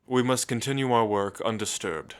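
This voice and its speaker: A man's voice: mid-range voice, normal speed, lightly acted. A man playing a curt, politely officious colonial scholar in an ASMR roleplay, with formal phrasing.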